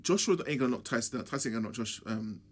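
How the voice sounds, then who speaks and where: A young man, a sports scientist, chatting casually in a rapid, emphatic, slangy way. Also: medium pitch, expressive. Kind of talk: casual chat about boxing.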